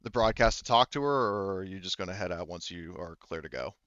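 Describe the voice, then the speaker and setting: A man's voice: low voice, a little fast, a bit bright, no room sound, dull audio. A man running a tabletop roleplaying game as game master, narrating in a hesitant, improvised, rambling way.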